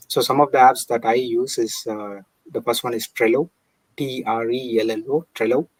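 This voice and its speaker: A man's voice: lowish voice, dry recording, poor audio. A man giving prepared, explanatory tips in a methodical, step-by-step manner. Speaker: online business owner giving tips.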